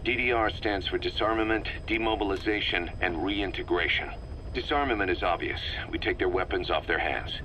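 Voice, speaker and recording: A male actor voicing a stern, pragmatic military subcommander in a video game, speaking in a brisk, matter-of-fact, clipped way on a taped briefing. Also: sits low, pushed and bright, rough recording. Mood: firm, instructive. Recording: cassette recording with background noise.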